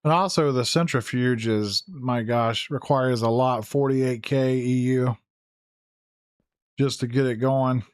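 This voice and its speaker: A hoarse man who is losing his voice, giving casual gameplay commentary, thinking aloud with fillers and self-corrections. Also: mid-range voice, a little slow, no echo, studio quality. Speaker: gaming YouTuber. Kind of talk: casual Let's Play commentary.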